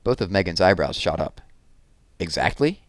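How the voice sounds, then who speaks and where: A man reading a children's novel aloud in an expressive storytelling style. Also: sits low, fairly quick, no echo.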